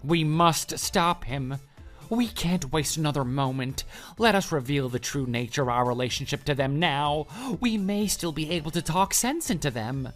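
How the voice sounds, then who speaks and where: A man's voice: fairly high pitch, normal speed, fairly bright tone, faint music behind, natural voice. An actor playing a deluded, pushy male office coworker in love, making florid, earnest declarations in a scripted online drama. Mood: alarmed, urgent.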